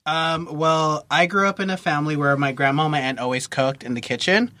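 A man's voice: a little high, no echo. A man in his thirties from Texas, a guest pastry chef telling stories in a casual podcast chat, acting out the quotes. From Texas, USA. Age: 30s. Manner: quick, animated storytelling with acted-out quotes.

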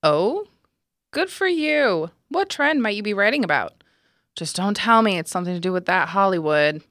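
A gentle, teasing old professor talks slowly to a former student, his pitch swinging freely as he jokes, as a woman narrator puts him on. The sound is close and dry, with no room around it. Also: animated, no room sound. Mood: fond. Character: older male professor, kindly and teasing.

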